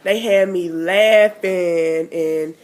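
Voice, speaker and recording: A woman's voice: on the low side, fairly even intonation, extremely slow, darkish tone, slight background noise. A woman, chatting casually to camera in a rambling way, nasal and stuffed up from a cold. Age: 20s. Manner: rambling, chatty, lots of fillers. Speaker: vlogger.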